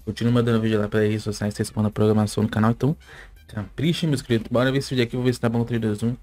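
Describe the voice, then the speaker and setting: A man's voice: mid-range voice, steady tone, racing, a little mellow, soft background music. A young man with a Brazilian accent giving casual, slangy reaction commentary with chuckling asides, close to a desk mic. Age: young adult. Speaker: reaction video host. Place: small indoor gaming setup. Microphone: close desk mic with headphones.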